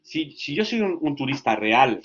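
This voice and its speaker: Lively male lecturer, lo-fi sound. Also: medium pitch, moderate pace. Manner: lively, asks frequent check questions. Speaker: language teacher trainer.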